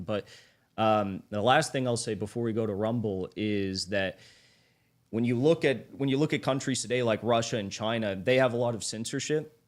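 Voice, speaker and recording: A man as a livestream guest, arguing politics in a hesitant way that restarts phrases often. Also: fairly low pitch.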